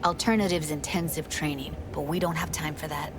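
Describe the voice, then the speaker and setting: A woman's voice: sits low, steady tone, fairly quick, noisy background, lightly acted. An actress playing a determined young techie woman rallying her crew in a video game, talking in clipped, informal street talk. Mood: earnest.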